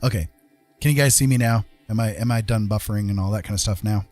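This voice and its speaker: A man with a fairly low voice chats casually as a live streamer. His talk is unscripted and wanders conversationally from thought to thought. Music plays clearly behind him. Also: quick pace, darkish tone. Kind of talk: casual unscripted livestream chat.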